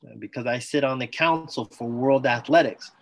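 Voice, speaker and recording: A man's voice: mid-range voice, normal speed. Enthusiastic man telling his story. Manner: enthusiastic, talks in bursts, acts out quoted dialogue. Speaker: podcast guest, former Olympic athlete. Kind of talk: conversational interview storytelling.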